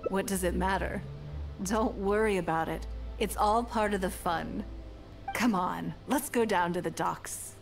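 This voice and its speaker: An actress voicing a poised, wealthy female leader in a game, speaking in a measured, refined, self-assured way. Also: medium pitch, gravelly, faint music behind. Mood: composed. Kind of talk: game character dialogue.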